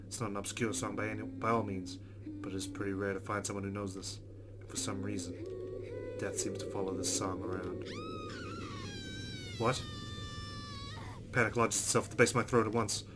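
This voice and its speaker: A man's voice: lowish voice, steady tone, normal speed, pauses often. A man reading a visual novel aloud as a let's play host, adding casual commentary. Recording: background guitar music. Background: game music with plucked guitar.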